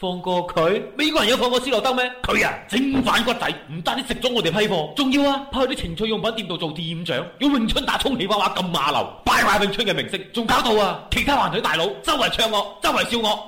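Comic radio villain: shouting, ranting gang boss, rapid-fire and bright, played by a man, echoey room. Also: high voice, animated. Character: hot-tempered gangster big brother. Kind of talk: comic radio drama acting. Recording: roomy echo.

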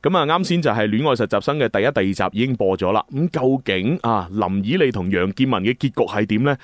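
Guy dj hosting radio, upbeat n chatty, really lively pitch, dry close studio sound. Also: quick pace, dry recording. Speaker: radio show host and DJ. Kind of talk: casual radio presenting in Cantonese.

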